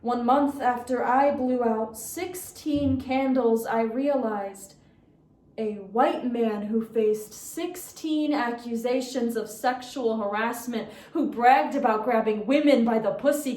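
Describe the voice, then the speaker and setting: A young woman performing a dramatic poetry recitation, with theatrical, deliberate phrasing and emphatic pauses. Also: on the high side, a little mellow. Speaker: competitive speech performer. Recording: roomy, echoing sound.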